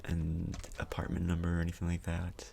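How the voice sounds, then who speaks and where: A man speaking softly, close to a whisper, in an ASMR doctor role-play, with a casual, hesitant, reassuring manner, close to the microphone. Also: deep voice, completely flat delivery, a little fast, creaky, a little hiss or hum.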